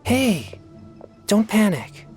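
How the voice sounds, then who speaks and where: Casting: earnest teen boy exorcist, youthful high-set voice, unhurried, very varied intonation, anime game. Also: big pitch swings, darkish tone, soft background music, full character voice.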